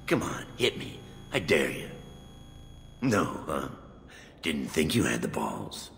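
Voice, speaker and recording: A man's voice: extremely low pitch, sing-song, takes it slowly, gravelly, a bit bright, in a large hall, a little hiss or hum, full character voice. An actor voicing a cocky male crime boss in a video game, sneering and taunting in tough-guy slang.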